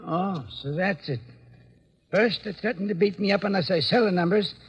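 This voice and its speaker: An actor playing a defiant but vulnerable old candy store owner in an old-time radio drama. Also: male voice, high-pitched, moderate pace, breathy edge, lo-fi sound, exaggerated voice. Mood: indignant. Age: older.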